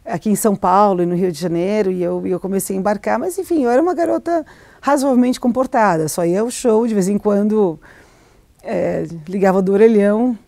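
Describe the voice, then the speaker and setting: A middle-aged woman from Argentina talks through her memories in an interview, pausing, restarting and often laughing lightly. Her voice is mellow and soft. The sound is close and dry, with no room echo. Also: medium pitch, creaky. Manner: hesitant, many restarts and fillers, laughs often. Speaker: novelist, interview guest. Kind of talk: reflective interview answers.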